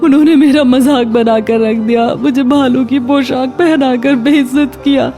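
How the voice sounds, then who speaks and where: A woman's voice: sits high, steady tone, normal speed, dark tone, soft background music, pitched above the performer's own voice, lightly acted. A woman voicing a humble, hardworking young housemaid in a cartoon story, polite and earnest. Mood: hurt, indignant. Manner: polite, earnest, put-upon.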